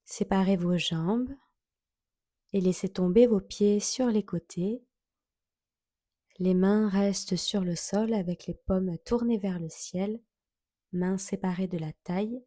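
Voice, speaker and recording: A woman leading a guided yoga relaxation as an instructor, giving soothing, measured instructions with long pauses. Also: mid-range voice, dark tone, dry recording, studio quality.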